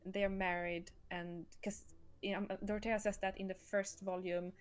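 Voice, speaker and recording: A woman's voice: mid-range voice, little pitch movement, moderate pace, clear, resonant tone. A woman hosting a casual livestream, explaining and answering questions about a game she created, a little coyly.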